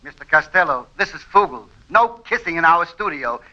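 A man's voice: fairly high pitch, animated, normal speed, bright, forceful tone. A man playing an officious, fussy studio official in a 1940s radio comedy. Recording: muffled old radio recording.

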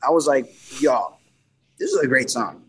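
A man's voice: mid-range voice, animated, normal speed, a crowd in the background. A young adult man, a musician, chatting casually about his album, rambling with lots of fillers and humming guitar parts aloud. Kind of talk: casual podcast chat.

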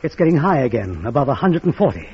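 An actor playing a deferential, formal government official in an old-time radio drama, with a very husky voice. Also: male voice, medium pitch, sing-song, fast talker. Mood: formal, urgent. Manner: formal, businesslike. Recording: muffled vintage radio recording.

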